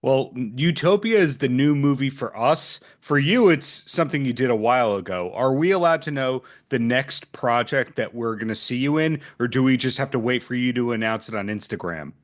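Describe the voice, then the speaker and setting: A man's voice: medium pitch, expressive, moderate pace, few pauses. A man with an ordinary mid-range voice hosts a podcast interview over a video call. He asks friendly, curious questions and offers encouraging remarks. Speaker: podcast host.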